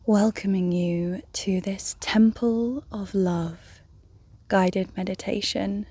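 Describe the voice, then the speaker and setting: A woman leading a guided meditation in a soft, breathy, near-whispered voice, gently and soothingly, with long pauses. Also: mid-range voice, fairly even intonation, no echo. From England. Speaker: meditation guide, yoga teacher.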